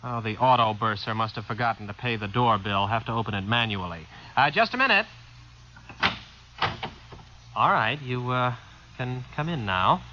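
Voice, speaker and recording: An actor in a 1950s radio drama playing an absent-minded, skeptical householder of the future, hesitant and muttering. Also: male voice, medium pitch, expressive, fairly quick, natural voice. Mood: distracted. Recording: muffled, hissy vintage radio recording.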